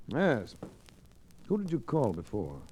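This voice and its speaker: A man's voice: mid-range voice, huge pitch swings, slow pace, darkish tone. A man playing a tough, wry American investigator with clipped, dry delivery in vintage radio detective style. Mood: brisk, probing. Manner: clipped, hard-boiled, dry. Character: tough, wry male private investigator, cool and sardonic. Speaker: lead actor and first-person narrator. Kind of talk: 1940s-50s radio detective acting. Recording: noisy vintage radio recording.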